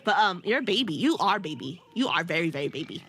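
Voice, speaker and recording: A woman's voice: on the low side, sing-song, fast talker, a bit bright, slight background noise. A woman around thirty with a nasal voice, chatting casually and giggling as she streams a game. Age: about 30. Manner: giggly, rambling, self-teasing. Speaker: VTuber streamer. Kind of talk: casual live-stream chat while gaming.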